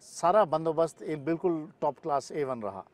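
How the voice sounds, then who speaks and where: A man's voice: fairly high pitch, expressive, moderate pace. A middle-aged man answering interview questions outdoors, mixing in English terms. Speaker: paragliding pilot and event weather expert, interview guest. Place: open grassy field in the mountains. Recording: clear outdoor ambient noise.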